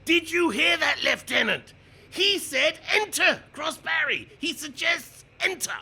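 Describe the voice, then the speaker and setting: A man's voice: animated, a little slow, creaky, bright, forceful tone, full character voice. A man playing a bloodthirsty, hot-tempered, pompous British army major in a radio play, barking orders. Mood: impatient. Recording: noisy, with vehicle rumble behind. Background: vehicle engine noise.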